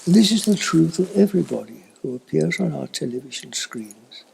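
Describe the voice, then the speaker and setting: An elderly man giving a slow, reflective spiritual talk in a husky, breathy voice that often fades toward a whisper, with long thoughtful pauses. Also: fairly high pitch, expressive, a little mellow, slight background noise, low-quality recording. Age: older, elderly. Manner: contemplative, halting with long pauses. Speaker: spiritual teacher.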